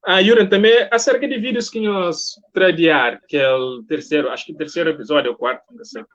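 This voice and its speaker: A man with a fairly high voice hosts a relaxed online interview. He speaks conversationally, as to guests and viewers on a live stream, and the sound is a little dull and lo-fi. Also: brisk. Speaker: interview host. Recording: muffled, lo-fi online stream audio.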